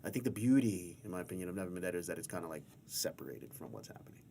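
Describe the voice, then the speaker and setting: A man hosts a relaxed interview. He talks in a loose, rambling way and encourages his guest. Faint noise sits behind his voice. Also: deep voice, very fast, vocal fry. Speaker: interview host. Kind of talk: casual interview chat.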